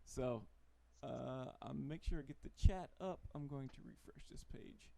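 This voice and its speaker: A man with a Texan accent hosting a casual livestream, relaxed and chatty, laughing often. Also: mid-range voice, normal speed, vocal fry. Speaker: host.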